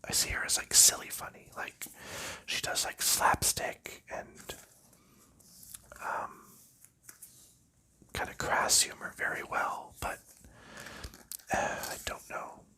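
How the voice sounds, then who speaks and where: A man talks in a steady whisper throughout, with a strikingly bright, crisp edge to the air in his voice. He rambles casually about whatever comes to mind, stopping for ums and small restarts as he handles game pieces. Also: takes it slowly, long pauses. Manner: soft rambling chatter with frequent ums. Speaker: ASMR channel host. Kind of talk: whispered ASMR board game unboxing.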